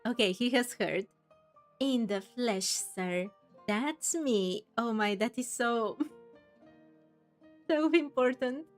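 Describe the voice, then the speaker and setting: A woman's voice: a little high, slow pace, pauses often, faint music behind. A woman streaming a game, commenting casually and musing aloud in a reactive way, sometimes reading text out. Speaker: gameplay streamer.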